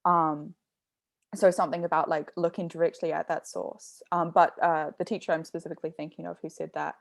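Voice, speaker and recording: A young woman with a low voice and plenty of gravelly fry talks through her ideas slowly and carefully. The sound is close and dry, with no room around it. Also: little pitch movement, no echo. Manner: reflective, explaining carefully. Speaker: artist in conversation. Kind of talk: thoughtful conversational talk.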